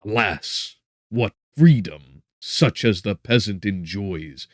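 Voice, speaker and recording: A tormented young gentleman as a man performs him recounts his doom with brooding, theatrical emphasis. His voice is on the low side, recorded dry, in the manner of a gothic audiobook. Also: animated, takes it slowly, no room sound. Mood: anguished, bitter. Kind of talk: dramatic gothic audiobook narration.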